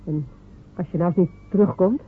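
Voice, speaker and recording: A woman's voice: fairly low pitch, moderate pace, husky. A woman playing proud, waiting wife Jemima. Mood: firm. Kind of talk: radio play acting. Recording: narrow phone-like radio recording with faint hiss.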